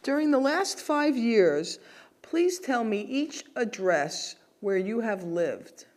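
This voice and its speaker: A woman playing an official interviewer, reading scripted questions in a formal, measured way. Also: fairly high pitch, sing-song, darkish tone. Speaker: role-played citizenship interviewer.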